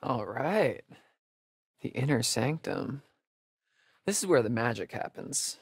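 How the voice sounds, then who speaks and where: A man's voice: mid-range voice, lively intonation, slightly rough, dry recording, exaggerated voice. Wisecracking young American guy, dry recording. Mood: playful, curious. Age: young adult. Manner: casual, sarcastic, halting asides. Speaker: video game voice actor. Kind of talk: naturalistic video game dialogue.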